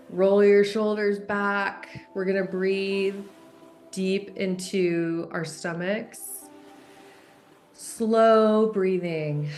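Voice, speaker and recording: A woman leading a guided meditation in a gentle, unhurried way, leaving long pauses between instructions. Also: medium pitch. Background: background music.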